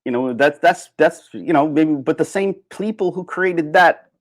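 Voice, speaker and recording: A man giving a casual, opinionated livestream monologue in an animated, sardonic way. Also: fairly high pitch, measured pace. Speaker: livestream host.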